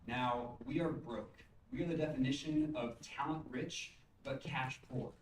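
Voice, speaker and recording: A young man delivering a rehearsed startup pitch as a student founder. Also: a little slow. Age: young adult. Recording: roomy, distant mic, faint noise.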